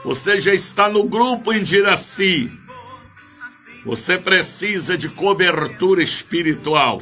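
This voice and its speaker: An older man leads a live radio church service as a preacher. His voice is sharp and forceful, set a little high, and his delivery is slow and declamatory. He repeats phrases and stretches out names as he greets and exhorts listeners. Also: animated, faint music behind. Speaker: evangelical bishop and preacher.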